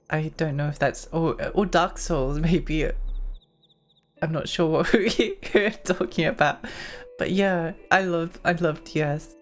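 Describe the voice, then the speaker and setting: A young woman streaming a game, chatting in a rambling way with lots of fillers and giggles, her voice getting hoarse. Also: deep voice. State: losing her voice. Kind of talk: casual live-stream chat while gaming.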